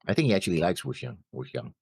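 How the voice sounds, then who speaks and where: A man co-hosting a reaction show, talking casually through notes with halting, noncommittal phrasing. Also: low voice, sing-song, a little mellow, slightly rough, dry recording, clean studio sound. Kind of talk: casual discussion, reading out notes.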